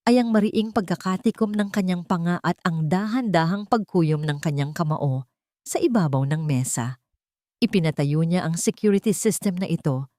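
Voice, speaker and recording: A woman's voice: fairly low pitch, dark tone, dry recording, studio quality. A woman storyteller reading scripted, dramatic narration for an audio drama.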